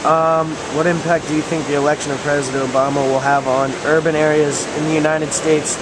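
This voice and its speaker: A woman's voice: deep voice, fairly quick. A woman interviewer putting prepared questions to someone in a research interview. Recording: very loud steady background hiss and hum.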